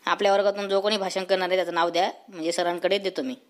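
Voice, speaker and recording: A man's voice: high-pitched, little pitch movement, racing, natural voice. A man voicing a strict, short-tempered cartoon schoolteacher with a rural Marathi accent and a scolding manner. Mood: brisk, official. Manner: stern, scolding, threatening. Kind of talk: comic cartoon dialogue.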